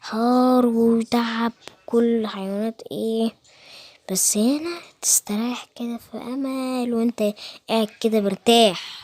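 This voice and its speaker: A lazy cartoon lion king lounges and grumbles, then barks orders in a low, easy tone, as a woman plays him. Egyptian accent, dry and close to the mic. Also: moderate pace, no echo, natural voice. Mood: contented. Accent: Egyptian Arabic. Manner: relaxed, grumbling, bossy.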